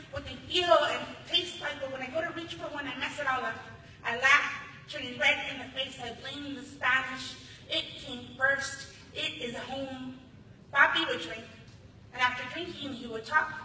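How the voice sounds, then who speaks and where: An American woman performing spoken word poetry live with expressive, emphatic delivery into a stage microphone. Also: sits high. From Los Angeles, United States. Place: large hall at a conference ceremony. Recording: echoey hall, distant, faint noise.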